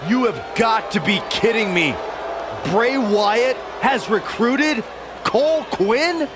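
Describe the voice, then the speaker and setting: An actor playing an astonished wrestling color commentator, animated and exclamatory, in a video game. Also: male voice, very high voice, slow pace, full character voice. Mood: incredulous. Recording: noisy arena with crowd.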